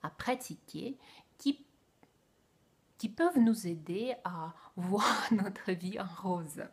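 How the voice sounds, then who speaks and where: A woman with a Russian accent talks slowly and deliberately, her voice soft and breathy. She speaks French as a second language and picks her words carefully, dropping into gentle whispered asides now and then. It has the relaxed feel of a casual book review. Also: mid-range voice.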